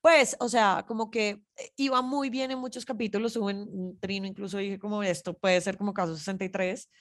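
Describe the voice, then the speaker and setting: A woman's voice: mid-range voice, fairly even intonation, brisk. A woman with a Colombian accent co-hosting a podcast in casual, informal conversation as she gives her review. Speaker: podcast co-host.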